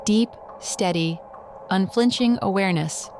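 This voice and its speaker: A woman narrator with a medium-pitched voice delivers dramatic scripted narration slowly and solemnly. A faint ambient noise runs behind her. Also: a little mellow. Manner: measured, solemn.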